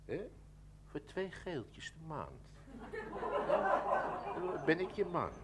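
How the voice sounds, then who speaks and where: An actor playing a pompous, pushy stranger in a Dutch radio play, stammering, repeating himself and talking floridly. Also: male voice, a little high, huge pitch swings, extremely slow, a loud crowd, very noisy, full character voice. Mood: wheedling. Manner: stammering, repetitive, florid and insistent.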